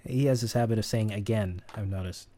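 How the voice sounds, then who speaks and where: A man's voice: on the low side, brisk, warm tone, slight background noise. A man teaching sound editing in a casual, think-aloud tutorial, with keyboard clicks behind him. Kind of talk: screen-recorded tutorial.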